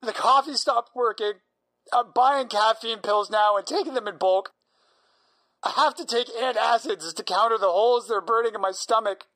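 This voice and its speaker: A woman playing an exhausted, terrified insomniac patient in an audio drama, halting, anxious and pleading. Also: a little high, fairly bright tone, poor audio. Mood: desperate.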